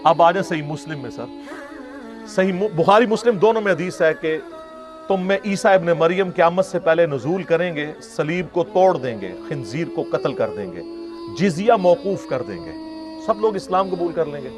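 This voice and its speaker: A man's voice: on the high side, expressive, moderate pace. A Pakistani man giving an informal religious lecture, arguing in a sarcastic, conversational way with rhetorical questions. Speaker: Islamic preacher and lecturer. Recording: music playing behind the voice. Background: music.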